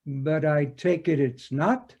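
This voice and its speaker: A man playing a pompous, deliberate police detective in a comic stage scene, speaking formally with hesitant, wordy phrasing. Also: fairly high pitch, darkish tone, breathy edge, lo-fi sound, full character voice. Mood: suspicious. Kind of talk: comic readers theater scene.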